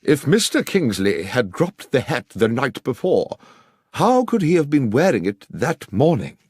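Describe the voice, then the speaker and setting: A man's voice: mid-range voice, very animated, a little slow, a little mellow, husky, dry recording, studio quality, voice pushed up. A man voicing a grave bishop in an audiobook, questioning with solemn, formal authority. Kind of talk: audiobook character acting.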